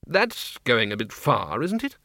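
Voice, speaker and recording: A man voicing a bossy, exasperated older wizard chief in an audiobook, blustering and gruffly sarcastic. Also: medium pitch, huge pitch swings, measured pace, sharp tone, dry recording, clean studio sound, exaggerated voice. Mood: exasperated threatening.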